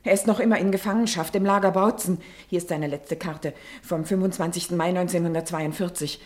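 A woman's voice: fairly low pitch, steady tone, a little fast, close to an everyday voice. An actress playing a scheming landlady in a radio play, whispering secrets and then pleading frantically for her captive husband. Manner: conspiratorial, turning frantic and pleading. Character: scheming, then desperate wife of a prisoner of war.

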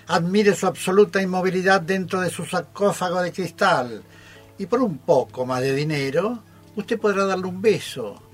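A man playing a theatrical, inviting sideshow barker in a radio play reading. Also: a little high. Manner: theatrical, inviting showman's patter. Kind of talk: dramatic reading of a short story for radio theatre.

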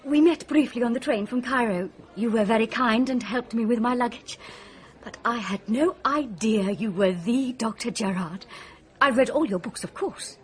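An actress playing a bright, curious young British doctor in a radio drama, eager and confiding. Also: female voice, a little high, normal speed, a faint crowd, natural voice. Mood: friendly, eager. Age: young adult.

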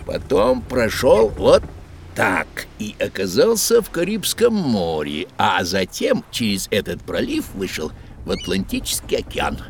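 Boastful, wildly swooping sea captain. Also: male voice, on the low side, very animated, unhurried, a little hiss or hum. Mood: showing off. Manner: theatrical, self-satisfied, exclaiming, talks in short questions. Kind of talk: children's educational audio drama.

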